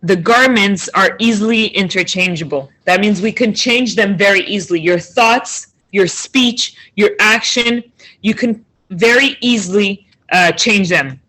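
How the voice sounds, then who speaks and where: A woman's voice: medium pitch, unhurried, bright, forceful tone, low-quality recording. A woman teaching a text class over a video call. She reads each line, then translates and explains it, checking in with "okay?" often. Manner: conversational, with restarts and checks of "okay?".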